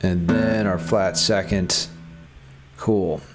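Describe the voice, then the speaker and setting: Man, guitar instructor, unhurried delivery, informal and thinking aloud, mid-pitched voice, guitar music behind. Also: steady tone. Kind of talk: casual music theory lesson, explaining while demonstrating. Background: electric guitar playing.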